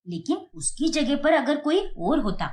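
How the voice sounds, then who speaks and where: A woman's voice: sits high, very animated, measured pace, darkish tone. A woman voicing a stern, middle-aged Indian mother-in-law in a Hindi cartoon moral story, scolding and exasperated. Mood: indignant, scolding.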